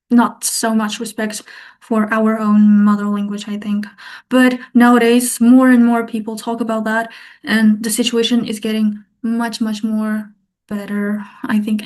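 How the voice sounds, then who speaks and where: A woman's voice: fairly high pitch, a little mellow. A young woman artist from Kazakhstan, talking reflectively as a podcast guest. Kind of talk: podcast interview.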